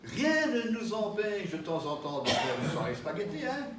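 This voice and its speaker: Man, public talk, lively varied pitch, echoey hall, distant mic, background noise. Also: moderate pace. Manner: lively and joking, with frequent laughs and "hein". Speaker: pastafarian minister giving a talk. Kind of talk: public talk with audience questions.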